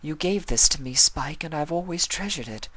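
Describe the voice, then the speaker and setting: A man reading a fanfic aloud and voicing a refined, remorseful young female pony with a soft, pleading delivery. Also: on the high side, expressive, normal speed, no echo, voice pushed up, poor audio.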